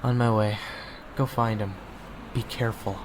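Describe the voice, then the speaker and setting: A man's voice: fairly low pitch, steady tone, normal speed, slight background noise. A young man performing an audio roleplay in a casual, wry manner.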